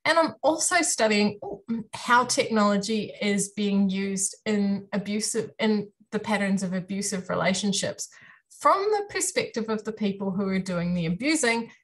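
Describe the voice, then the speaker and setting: A woman in her thirties with a New Zealand accent gives a friendly, self-deprecating career talk to students over a video call from a room at home. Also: medium pitch. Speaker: university lecturer giving a career talk. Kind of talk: online presentation to students. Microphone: webcam on a video call.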